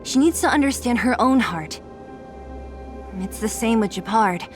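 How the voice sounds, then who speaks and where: A woman's voice: on the high side, lively intonation, measured pace. A woman playing a blunt, scrappy young freedom fighter in a video game, frank and tough-talking. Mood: frank, reflective. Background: game music.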